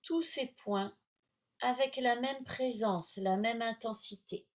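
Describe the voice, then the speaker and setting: A woman teaching yoga nidra, guiding relaxation in short, soothing, measured phrases with long pauses. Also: a little high. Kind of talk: guided relaxation instruction.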